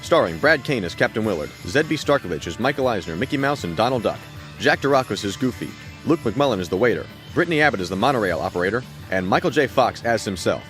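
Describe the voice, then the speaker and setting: A male announcer reads credits in old-time radio style. His delivery is quick and very lively in pitch, over faint music.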